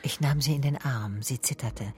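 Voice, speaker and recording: A woman's voice: extremely low pitch, normal speed, a little mellow. An actress playing a private detective who narrates her case in a radio drama, composed and probing. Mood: composed, reflective. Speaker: narrator and lead character.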